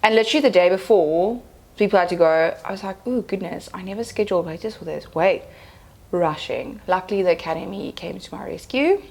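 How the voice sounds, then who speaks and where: A woman's voice: on the low side, a little fast, gravelly, darkish tone. A young woman, chatting casually as an event planner on a podcast and telling stories. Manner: chatty, storytelling, slips into Afrikaans phrases.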